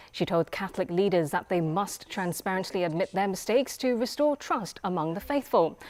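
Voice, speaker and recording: A woman's voice: lowish voice, a little mellow. A woman anchor reading scripted television news.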